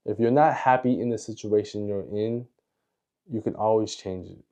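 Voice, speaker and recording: A man in his twenties with an American accent, speaking in an earnest, deliberate, reflective way as in a confessional video diary. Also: fairly low pitch, warm tone. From United States. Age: 20s. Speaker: vlogger.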